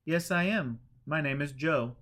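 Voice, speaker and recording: A man's voice: fairly high pitch, unhurried, fairly bright tone, voice pushed up. Man playing a serene, kindly holy man. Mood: calm, kindly. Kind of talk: audiobook character voice.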